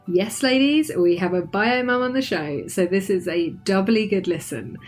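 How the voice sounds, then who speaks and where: A woman's voice: medium pitch, animated, normal speed, soft background music. A woman hosting a podcast interview, chatty and upbeat. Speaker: podcast host.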